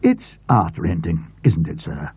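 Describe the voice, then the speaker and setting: A man's voice: deep voice, very animated, a little slow, dark tone, slightly rough, no echo, highs cut off, pitched below the performer's own voice, full character voice. A man voicing a wordy, self-important, obsequious English tradesman in an audiobook story. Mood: fawning, earnest. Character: pompous, obsequious English tradesman with homespun grammar. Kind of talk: audiobook character voice.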